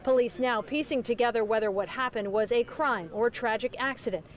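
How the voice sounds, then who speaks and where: A woman narrating a somber news report in a grave, measured way. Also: on the high side, a little hiss or hum.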